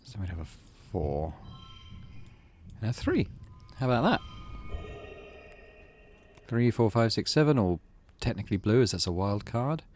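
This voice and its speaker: A man's voice: fairly low pitch, very animated, unhurried, long pauses, darkish tone, slight background noise. A man giving a casual let's play commentary, thinking aloud and reading story text from the game. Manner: thinks aloud while playing. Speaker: gaming channel host playing a visual novel.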